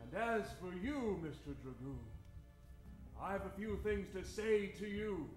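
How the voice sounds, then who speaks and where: Man acting old adventurer, high pitch, grandiose, emotional, drawn-out exclamations, radio melodrama, clear music behind. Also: animated, a little mellow, roomy sound. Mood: grave, urgent. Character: elderly long-bearded adventurer, emotional and grandiose.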